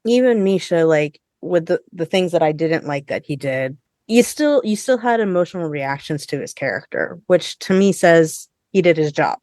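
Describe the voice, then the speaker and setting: A woman's voice: deep voice, animated, normal speed, darkish tone, dry recording, clean studio sound. A woman co-hosting a podcast in casual, conversational chat, often dropping in "like" as she talks. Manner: conversational, frequent "like". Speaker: podcast co-host.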